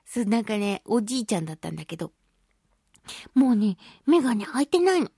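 Female solo radio host: high-pitched, expressive, giggly, chatty delivery in a dry studio sound. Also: fast, creaky, slightly rough, no room sound. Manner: expressive, giggly, uses sound effects and little acted asides. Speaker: radio show host, voice actress. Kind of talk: casual solo radio talk.

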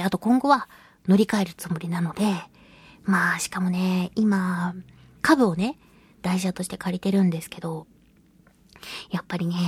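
Clear-voiced woman chatting on radio. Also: medium pitch, a little mellow. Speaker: radio show host and voice actress. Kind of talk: chatty solo radio monologue.

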